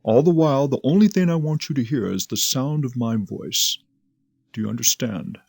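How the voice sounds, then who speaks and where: Dry-recorded, calm hypnotist doctor. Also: male voice, medium pitch, creaky, breathy edge, no echo. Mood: soothing. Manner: measured, soothing, commanding. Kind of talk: audio drama acting.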